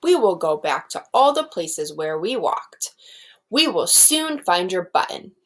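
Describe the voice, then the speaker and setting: A woman's voice: lowish voice, sing-song, a little slow, fairly bright tone, exaggerated voice. A woman voicing a helpful, reassuring frog friend from a children's storybook, in an encouraging way.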